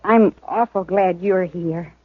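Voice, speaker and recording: A shy, earnest frontier girl of about sixteen, with a soft country drawl. The sound is old radio: thin and phone-like, dry and close. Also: fairly low pitch, takes it slowly, no room sound. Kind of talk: 1950s radio acting. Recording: narrow-band vintage radio broadcast.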